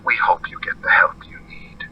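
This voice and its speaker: A man's voice: normal speed, slight background noise. A man playing an earnest, formal call-in doctor on an AM radio show, heard through a radio filter. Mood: affronted, formal. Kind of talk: radio advice show acting. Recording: muffled lo-fi AM radio sound.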